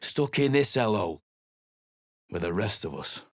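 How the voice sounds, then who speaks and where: An actor playing a surly, battle-worn mercenary adventurer in a video game, speaking in a gruff, blunt, dismissive way. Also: male voice, on the low side, big pitch swings, normal speed, dry recording.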